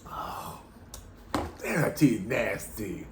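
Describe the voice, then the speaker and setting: A man's voice: on the low side, lively intonation, slow, creaky, background noise. An American man chatting casually and slangily between bites as a food vlogger, with an informal way of talking. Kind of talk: casual chat while eating.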